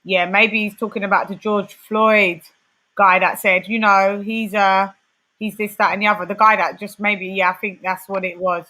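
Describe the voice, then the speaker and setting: A woman's voice: medium pitch, level tone, normal speed, bright, forceful tone, rough recording. A woman with a London accent, hosting a casual livestream chat and reading out viewers' comments. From West London. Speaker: livestream host.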